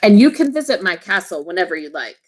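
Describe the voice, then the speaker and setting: A woman's voice: lowish voice, sing-song, fast talker. A woman voicing a powerful male king in a children's storybook, emphatic and declaring. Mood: conceding.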